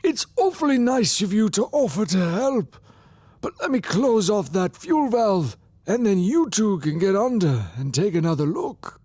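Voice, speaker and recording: A woman voicing a jolly but stern old Santa Claus creature, with a deliberate, theatrical delivery in an audiobook drama. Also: mid-range voice, big pitch swings, warm tone, husky, no echo. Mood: jovial, placating. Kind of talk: audiobook drama character acting.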